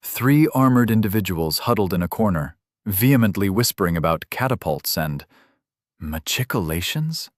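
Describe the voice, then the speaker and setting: A man reading a fantasy audiobook in a measured, unhurried manner. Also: sits low, lively intonation, no echo, studio quality. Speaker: audiobook narrator. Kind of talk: scripted fantasy narration.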